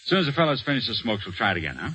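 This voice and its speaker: An actor playing an earnest, plain-spoken young Army corporal in an old-time radio drama. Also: male voice, medium pitch, big pitch swings, rapid-fire, pushed and bright, faint music behind. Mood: earnest, insistent. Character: earnest, determined young Army corporal telling his story. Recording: noisy old radio broadcast recording.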